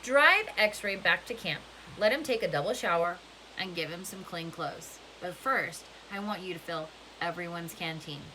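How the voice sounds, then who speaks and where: A woman's voice: fairly low pitch, animated, moderate pace, sharp tone, low-quality recording. Lady reading as bossy prison camp warden woman, scary polite, controlled, bit of hiss on it. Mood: commanding. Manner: controlled, polite but threatening. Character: soft-spoken, menacing, commanding woman prison-camp warden.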